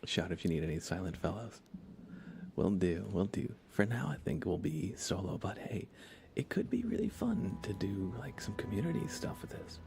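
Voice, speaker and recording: A man chatting casually on an ASMR game livestream in a soft, breathy voice that often slips toward a whisper, hesitant and rambling. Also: deep voice, very animated, normal speed, slight background noise. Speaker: livestream host. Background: music.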